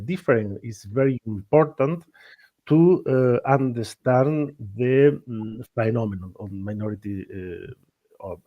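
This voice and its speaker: A man with a Spanish accent co-presenting an academic webinar, speaking haltingly and searching for words. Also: medium pitch, highs cut off. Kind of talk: webinar lecture.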